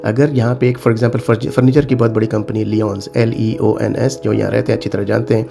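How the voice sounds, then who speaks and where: A man's voice: fairly low pitch, little pitch movement, fairly quick, gravelly, music in the background. A middle-aged man with a Pakistani accent gives informal advice to viewers, emphatic and repeating his warnings, mixing in English phrases. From South Asia (Pakistan). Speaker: cyber security consultant and advice video host. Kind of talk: informal advisory talk to viewers.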